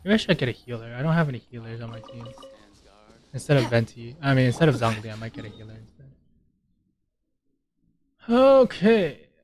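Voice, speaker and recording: A man's voice: mid-range voice, very animated, brisk, pauses often. A young man giving casual game commentary, thinking aloud in rambling asides. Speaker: gaming streamer. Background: game music.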